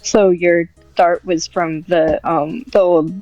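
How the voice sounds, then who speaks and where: A woman's voice: on the low side, steady tone, slow, no echo, lo-fi sound, soft background music. A woman podcast guest chatting casually and hesitantly, thinking aloud as she answers. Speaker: podcast guest and script writer. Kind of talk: casual interview chat.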